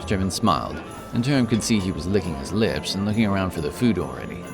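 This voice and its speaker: A man's voice: low voice, animated, quick pace. A man narrating a scripted fantasy story. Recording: narration over prominent music. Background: music.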